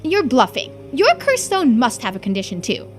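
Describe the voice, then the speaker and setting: A scheming young-sounding villainess from a mystery game, played by a woman reading aloud. Her high voice swings widely as she taunts and plays innocent, with music underneath. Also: huge pitch swings, normal speed. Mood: defiant. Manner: taunting, coy. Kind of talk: video game character dialogue read-aloud. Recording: music behind the voice.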